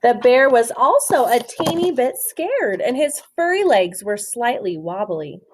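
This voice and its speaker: Animated woman reading a storybook aloud. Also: fairly high pitch, a little hiss or hum. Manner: expressive, asks questions and pauses for answers. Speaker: storytime reader, teacher. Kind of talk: interactive picture-book read-aloud to children.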